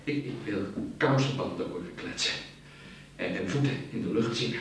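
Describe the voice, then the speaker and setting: A man's voice: lowish voice, moderate pace, close to an everyday voice. Echoey, scheming, greedy man played by an actor. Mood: dreamy, musing. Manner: stammering, repeating words. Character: scheming dreamy criminal Tony, greedy, domineering, drunk. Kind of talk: radio play acting. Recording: very echoey, distant, noisy radio play recording.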